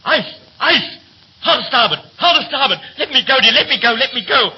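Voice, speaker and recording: Muffled 1930s radio ship's officer, swooping delivery. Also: male voice, very high voice, expressive, a little fast, husky, heavily acted. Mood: frantic, shouting. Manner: clipped, commanding, theatrical. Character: brisk, bossy young male ship's officer on watch. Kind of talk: 1930s radio play acting.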